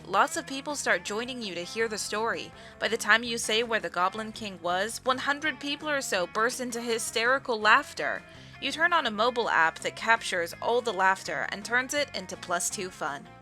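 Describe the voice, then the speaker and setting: A woman narrator with a bright, clear-cut tone at medium pitch reads scripted comedy narration for a visual novel. Music plays underneath.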